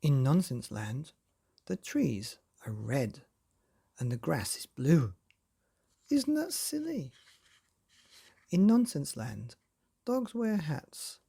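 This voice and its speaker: A man narrating a children's bedtime storybook in a playful, expressive way, often putting questions to the listener. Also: on the high side, slow, gravelly, long pauses, slightly rough.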